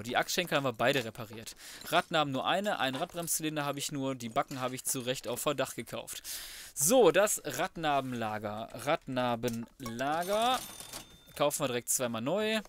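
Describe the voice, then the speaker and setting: A man's voice: fairly high pitch, a bit bright, slight background noise. A man giving casual, slangy gameplay commentary, chatting through each step as he goes. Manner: chatty, self-narrating, slangy. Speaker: let's player commentating.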